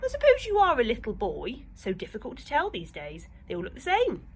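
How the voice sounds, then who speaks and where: A woman voicing a prim, fussy elderly lady who scolds in a drawn-out way. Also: a little high, huge pitch swings, slight background noise, voice pushed up.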